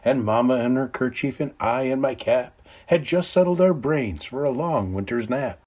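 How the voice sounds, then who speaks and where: A man reading a classic Christmas poem aloud, with dramatic swings between hushed low lines and loud calls. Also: normal speed, husky, lo-fi sound. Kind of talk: expressive storybook read-aloud.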